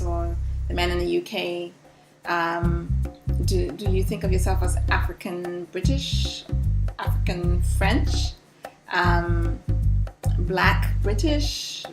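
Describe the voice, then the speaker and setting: A woman's voice: on the low side, vocal fry. Musing woman vlogger in an echoey room. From Ghana. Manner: thoughtful, musing, many restarts. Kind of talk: casual talk to camera. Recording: echoey room with loud music behind.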